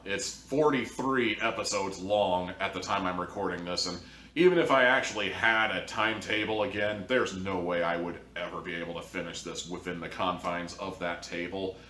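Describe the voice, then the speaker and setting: A man with a fairly low voice delivers an informal critical rant as a reviewer. He sounds exasperated and theatrical, stretching words for emphasis. The recording is roomy and distant, with some background noise. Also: a bit bright. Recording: echoey room, distant mic, faint noise.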